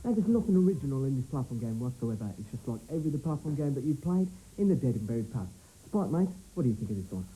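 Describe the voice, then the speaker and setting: Fast-talking games reviewer, warm and animated. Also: male voice, medium pitch, low-quality recording. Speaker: game reviewer. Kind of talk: comic review segment.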